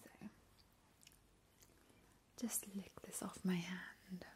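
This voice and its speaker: A woman in a whispered ASMR roleplay, drawing out her words and repeating trigger words softly, recorded indoors at a table on a close mic. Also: on the low side, flat delivery, extremely slow. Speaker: ASMR channel host.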